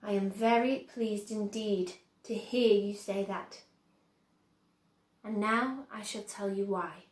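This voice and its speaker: A woman's voice: mid-range voice, fairly even intonation, takes it slowly, echoey room, natural voice, rough recording. A woman voicing an eccentric, exuberant old man who owns a chocolate factory, speaking earnestly and emphatically in a storybook reading. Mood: serious.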